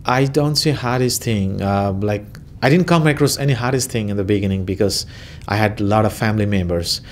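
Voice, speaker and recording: A man's voice: on the low side, a little fast, slight background noise. A man in his thirties with a Nepali accent, answering interview questions in a hesitant way, with many fillers and restarts.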